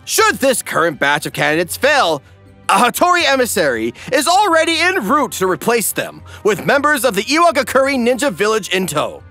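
Male comedy narrator, high and bright, theatrical emphasis and wry asides over a music bed. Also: huge pitch swings, moderate pace. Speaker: recap narrator.

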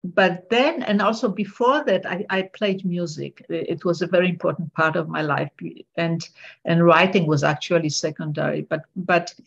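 A woman's voice: on the low side, steady tone, moderate pace, vocal fry, slightly rough. An older woman from Poland, a guest on a video call interview, speaking earnestly with many small restarts and repeated words. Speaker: guest author. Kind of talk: casual interview chat. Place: bright room at home. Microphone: earbuds on a video call. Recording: dull lo-fi video call audio.

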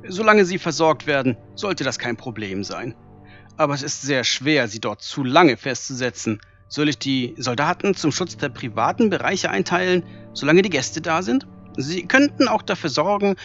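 A man's voice: a little high, lively intonation, moderate pace, fairly bright tone, dull audio, faint music behind. A man playing an anxious, deferential valet in a fantasy audio drama, eager to please. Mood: dutiful. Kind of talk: fan-made fantasy audio drama acting.

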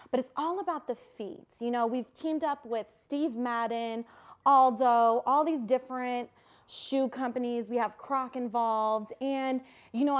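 A woman in her twenties improvising a prank call as a producer, gushing and swearing freely, recorded in a living room. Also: a little high. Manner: gushing, profane. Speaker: prank caller.

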